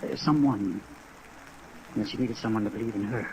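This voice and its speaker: A man's voice: lowish voice, brisk, natural voice. Hesitant beach-bum played by a man, old radio. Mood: earnest, uneasy. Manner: hesitant, earnest. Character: stunned, defensive beach-bum actor, man in mid-thirties. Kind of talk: 1950s radio drama acting. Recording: old radio recording, faint rainy hiss.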